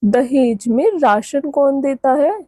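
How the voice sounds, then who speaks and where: Woman acting haughty young daughter-in-law, cartoon story, boastful, condescending, raised pitch, dry recording. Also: slow, warm tone, no echo. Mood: mocking. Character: haughty young city daughter-in-law flaunting her dowry. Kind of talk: Hindi cartoon moral-story dialogue.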